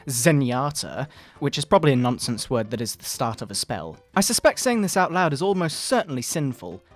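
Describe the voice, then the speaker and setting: A man voicing a pompous, sanctimonious fundamentalist preacher parent in a mock-dramatic sermon, with theatrical, drawn-out emphasis. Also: a little high. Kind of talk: mock-dramatic reading of a moral-panic sermon. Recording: background video game music.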